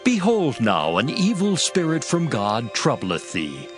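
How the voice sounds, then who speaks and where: Earnest royal servant, lively intonation. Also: male voice, mid-range voice, a little slow. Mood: earnest, deferential. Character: earnest, deferential male servant of a king. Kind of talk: dramatized scripture reading. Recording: prominent music bed behind voice.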